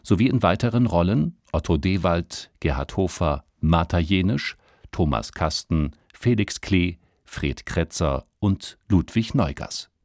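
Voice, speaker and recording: Male radio announcer for drama credits; deep, dry close sound, formal, precise delivery. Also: expressive, darkish tone, no echo. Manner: measured, precise enunciation. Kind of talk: formal scripted cast announcement.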